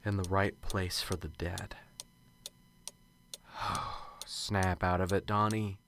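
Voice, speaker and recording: A man's voice: low voice, level tone, slow pace, creaky, fairly bright tone, a little hiss or hum, exaggerated voice. A man playing a nervous, unsure male professor who stammers and talks to himself in a student radio drama. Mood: anxious.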